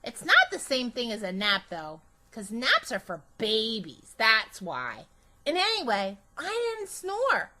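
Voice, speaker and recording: A woman voicing a chatty, imaginative, bossy little girl in an animated children's storybook read-aloud, with childish, emphatic phrasing. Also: fairly high pitch, heavily acted. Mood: chatty, earnest.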